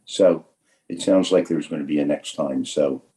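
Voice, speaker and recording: A hoarse man with a cold, a commission member raising points hesitantly at a meeting, heard from a distance. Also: rumbling low, moderate pace, warm tone. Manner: hesitant, tentative.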